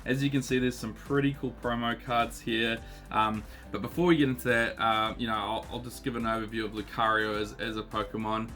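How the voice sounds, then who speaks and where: A man in his thirties with a New Zealand accent, still a bit husky from illness, chatting casually as he unboxes trading cards with lots of ums and uhs. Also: mid-range voice, flat delivery, a little fast, pushed and bright, soft background music. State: husky, recovering voice. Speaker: card collecting channel host.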